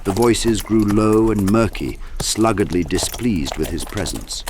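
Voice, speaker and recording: A man giving a dramatic scripted narration of a dark fantasy audiobook. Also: on the low side, lively intonation, unhurried, noisy background.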